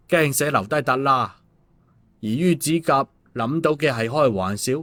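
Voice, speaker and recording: A man's voice: mid-range voice, fairly bright tone. A man narrating an audiobook in a colloquial storytelling style. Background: background music.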